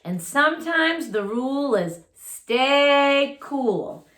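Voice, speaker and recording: A woman voicing a kindly but firm female sea-creature teacher in a storybook, measured and gently instructive. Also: sits high, expressive, reverberant, exaggerated voice. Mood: gently correcting.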